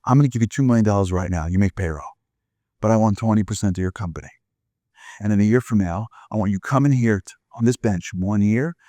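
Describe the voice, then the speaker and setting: A man acts out an elderly, self-assured investor from a spoken story. He is fatherly and plainspoken, moving briskly through advice and offers. The sound is close and dry. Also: on the low side, dark tone, no echo, natural voice. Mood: businesslike. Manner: plainspoken, paternal, deal-making.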